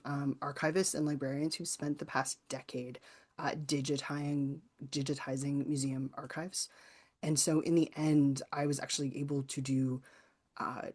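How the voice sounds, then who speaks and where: A woman's voice: low-pitched, a little mellow. A woman presenting as a guest author, answering workshop questions in a thoughtful, tentative, conversational way. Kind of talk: informal workshop Q&A.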